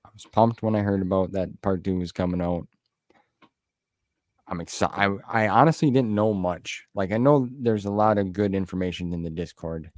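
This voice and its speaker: Low-voiced, enthusiastic American man hosting. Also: moderate pace, a little mellow, no echo, studio quality. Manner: effusive, enthusiastic, folksy. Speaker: podcast host and interviewer. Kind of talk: casual interview chat.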